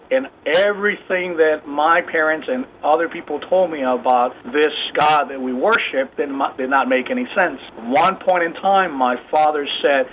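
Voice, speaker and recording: A man's voice: a little high, fairly bright tone, highs cut off, slight background noise. Earnest man with a Puerto Rican accent. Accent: Puerto Rican Spanish. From Puerto Rico. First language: Spanish. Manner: earnest, halting with many fillers. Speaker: guest apologist. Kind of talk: radio interview.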